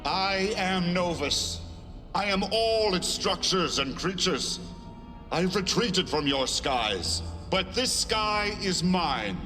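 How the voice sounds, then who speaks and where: An otherworldly being for a game cutscene. The voice is fairly high and widely inflected, solemn and unhurried. Long reverb surrounds it, with music underneath. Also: male voice, expressive, pushed and bright, exaggerated voice. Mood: solemn, commanding. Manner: slow, solemn, deliberate. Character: calm, otherworldly being embodying a whole world. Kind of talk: scripted video game cutscene acting.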